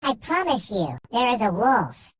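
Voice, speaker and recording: A woman's voice: fairly high pitch, sing-song, a little slow, slightly rough, no room sound, heavily acted. A woman voicing a bored, mischievous young village shepherd boy in a children's storybook. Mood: desperate.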